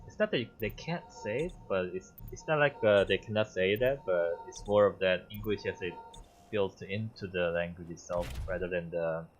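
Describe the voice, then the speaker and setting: A man with a very low voice whose first language is Korean talks through a game as he streams it. He pauses often and fills the gaps with fillers while he works things out. The home mic sounds slightly dull, with a faint hiss behind him. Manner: halting, thinking aloud with many fillers. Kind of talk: casual gameplay commentary.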